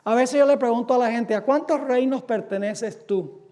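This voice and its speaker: A pastor from the United States preaching a sermon, reading quotations slowly and firing off rhetorical questions that he answers himself. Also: very high voice, mellow. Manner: emphatic, asks rhetorical questions and answers them; reads quotations aloud slowly. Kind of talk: sermon lecture.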